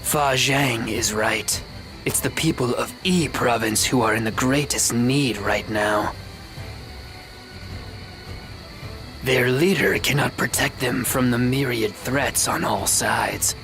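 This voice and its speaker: A man playing a grave, critical military advisor in a dramatic video game, in a measured, stern, emphatic manner. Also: medium pitch, a bit bright, soft background music. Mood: grave, urging.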